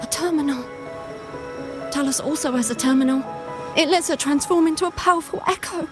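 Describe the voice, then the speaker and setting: Actress as young female game vagrant, high-pitched, timid, earnest, hesitant, music in background. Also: fairly quick, close to an everyday voice. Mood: anxious, apologetic. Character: timid, desperate young vagrant girl from a gang slum. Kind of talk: video game voice acting. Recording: studio voice under game music.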